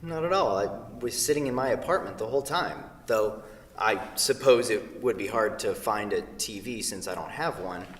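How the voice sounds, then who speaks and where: A man's voice: on the low side, moderate pace, fairly bright tone, natural voice. A man acting an earnest young man, echoey stage. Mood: wry, earnest. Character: earnest, wry, awkward young man, passionate storyteller. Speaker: actor in a staged play reading. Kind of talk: staged reading of a comedic two-person play. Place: theatre stage, picked up from a distance. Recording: echoey theatre hall, distant microphone.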